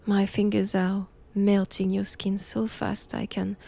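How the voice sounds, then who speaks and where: A woman with a French accent playing a stern, cold vampire-hunting nun in an intimate audio roleplay, measured and commanding. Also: mid-range voice, completely flat delivery, gravelly, warm tone. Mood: menacing.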